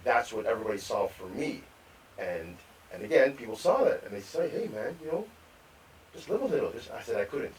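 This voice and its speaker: A man co-hosting a live podcast, telling rambling stories about growing up, with repeated words and animated quotes. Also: mid-range voice, a little fast, a little hiss or hum. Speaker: podcast co-host. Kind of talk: storytelling in casual chat. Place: live podcast recording venue. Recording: distant mic with faint room ambience.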